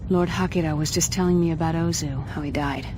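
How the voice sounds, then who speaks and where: An actress playing a composed, caring ally in a space adventure video game. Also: female voice, low voice, fairly even intonation, a little fast, music in the background, natural voice. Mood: subdued. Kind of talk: video game cutscene acting.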